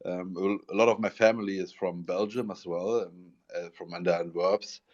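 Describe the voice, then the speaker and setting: A man with a German accent, a guest in an online audio room, talking haltingly as he searches for English words. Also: sits low, steady tone, a little mellow. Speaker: guest, project founder. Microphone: online voice call. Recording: muffled online voice call.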